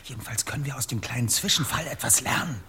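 A man's voice: medium pitch, fast, background noise, lightly acted. A man playing a clever, bossy young detective in an audio drama, speaking in precise, pompous sentences and often whispering. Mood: self-assured. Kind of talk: German audio drama acting.

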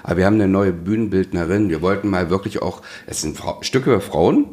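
Low-pitched man in effusive casual chat. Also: fairly quick, a little mellow. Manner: effusive wording, searches for words. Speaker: podcast host, musical maker.

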